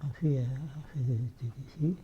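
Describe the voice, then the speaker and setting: A man's voice: medium pitch, fairly quick, warm tone, lo-fi sound, slight background noise. An older man giving testimony in an interview, answering briefly and hesitantly as he searches for dates and names. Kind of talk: slow recollection in an interview.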